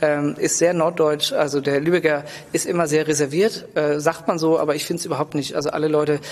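Coffee guy from germany, bit high voice, lots of ums, long hall echo behind him. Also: level tone, a little fast. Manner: hesitant, many fillers, enthusiastic. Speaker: coffee roaster, guest. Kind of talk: casual interview. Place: large building site hall.

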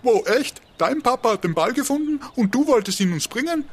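An easygoing gibbon dude, with lively, bouncing intonation. He is jovial and welcoming, chatting casually like an old buddy in a children's radio play. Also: very high voice, a little fast, husky, exaggerated voice. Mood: upbeat. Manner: casual, chuckling, relaxed phrasing. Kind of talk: children's audio drama acting.

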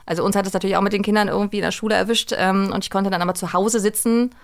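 A woman's voice: medium pitch, fairly even intonation, rapid-fire. A middle-aged woman, chatting casually and talkatively as a voice actress being interviewed. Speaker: guest, voice actress and dubbing director. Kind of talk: casual interview chat, telling work anecdotes.

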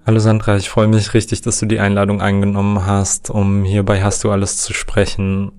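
A man's voice: lowish voice, completely flat delivery, brisk, darkish tone, smooth, faint music behind. A man hosting a podcast interview, friendly and careful, choosing his words as he asks questions. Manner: friendly, careful, searching phrasing. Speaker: podcast host.

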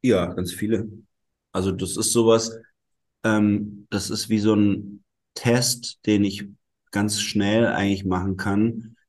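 A man with a deep, low-pitched voice talks as an interview guest, explaining his work at length and pausing often on fillers. He grew up speaking both German and Italian. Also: fairly even intonation, darkish tone. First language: bilingual German and Italian. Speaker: musician and guest. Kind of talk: casual interview talk.

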